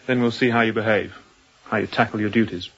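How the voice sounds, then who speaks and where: An actor playing a young, newly appointed sea captain in a radio drama, clipped, commanding and guarded. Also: male voice, on the low side, fairly even intonation, fast, a bit bright, breathy edge, lo-fi sound. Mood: stern, impatient. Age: young adult.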